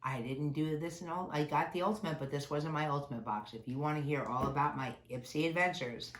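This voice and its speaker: A woman's voice: sits low, brisk. A woman reviewing beauty subscription boxes in a casual, chatty way, sounding nasal from a cold. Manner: chatty, candid, grumbles about packaging. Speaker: beauty vlogger. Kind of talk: casual unboxing chat. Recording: echoey room with faint noise.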